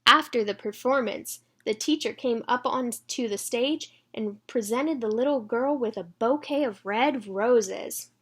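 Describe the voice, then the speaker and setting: Female read-aloud storyteller for kids, higher-pitched voice, expressive and engaging. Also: a little slow, hardly any pauses. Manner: engages young listeners with questions. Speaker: children's read-aloud reader.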